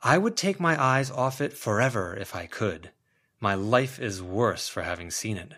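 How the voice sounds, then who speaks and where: A man's voice: fairly low pitch, lively intonation, moderate pace, a bit bright. Man voicing a languid, dramatic young man. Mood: pained, earnest. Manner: confident, florid, earnest. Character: languid, melancholy, dramatic long-haired beautiful young man.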